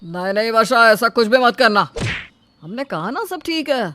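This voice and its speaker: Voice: medium pitch, sing-song, moderate pace, bright, forceful tone, no room sound. Someone acting a placating, indulgent father. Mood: cautioning. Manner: placating, advising. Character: reassuring, indulgent middle-aged father of a bride. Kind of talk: dramatised Hindi story acting.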